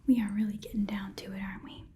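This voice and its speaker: A woman's voice: medium pitch, flat delivery, fast talker, dark tone, a little hiss or hum, natural voice. A woman playing a chatty, nosy goblin pawn shop keeper in a whispered ASMR roleplay, rambling casually and muttering repeated words. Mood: friendly haggling.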